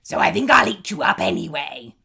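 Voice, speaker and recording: A woman voicing a huge, grumpy old male troll who booms and growls in a low, mean voice. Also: big pitch swings, takes it slowly, vocal fry, a crowd in the background, heavily acted. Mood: menacing.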